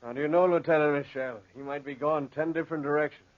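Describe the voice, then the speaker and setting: A doubtful soldier in the ranks played by a man speaks in a fairly high male voice. It sounds like muffled 1940s radio drama. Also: steady tone, fast talker, natural voice. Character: doubtful army trooper questioning his officer.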